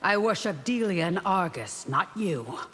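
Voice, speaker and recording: A woman playing a proud, devout and fierce bodyguard warrior in a video game, speaking in a formal, defiant, measured way. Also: fairly low pitch, very animated. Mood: cool, sceptical. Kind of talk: video game character acting. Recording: long reverb, faint noise behind.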